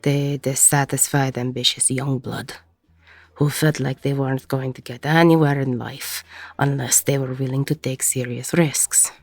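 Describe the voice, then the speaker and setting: A woman playing a weary, protective middle-aged ex-con bar owner in an intimate audio roleplay, with a husky, gravelly voice. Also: very deep voice, steady tone, measured pace, a little mellow, dry recording, exaggerated voice. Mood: regretful, confiding.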